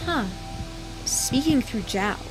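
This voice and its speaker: A woman's voice: high voice, animated, slow, music in the background, rough recording. A woman streamer giving casual commentary on a game, thinking aloud and rambling with restarts. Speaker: let's play streamer.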